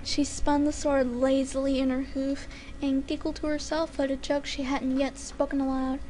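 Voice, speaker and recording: High-voiced woman reading a story dramatically. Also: level tone, normal speed, dark tone. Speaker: amateur story reader. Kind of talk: dramatic scripted narration of comic fan fiction. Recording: faint background noise.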